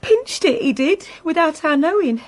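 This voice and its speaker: An actress playing an evasive working-class English woman posing as a bereaved sister in a radio drama, fussy, halting and over-apologetic. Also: sits high, expressive. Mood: fishing for clues.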